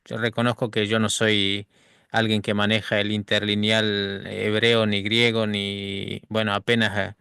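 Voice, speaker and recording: A man whose first language is Quechua, sharing his Bible reading habits in a gentle, halting way. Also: lowish voice, level tone, vocal fry, clear, resonant tone, no echo, studio quality. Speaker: podcast guest. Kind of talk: personal testimony.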